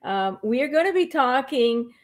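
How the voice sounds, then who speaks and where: A woman's voice: sits high, lively intonation, moderate pace. A woman hosting an online interview, hesitant and full of fillers and restarts. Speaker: host.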